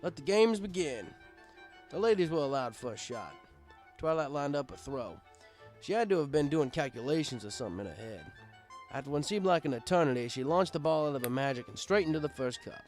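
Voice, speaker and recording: Male story narrator over soft music. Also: mid-range voice, animated, a little fast. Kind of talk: scripted first-person story narration.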